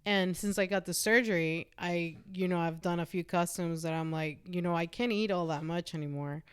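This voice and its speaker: A woman's voice: on the low side, fairly even intonation, moderate pace, smooth. A woman from Mexico chatting casually and candidly as a podcast guest, blunt and free with swearing.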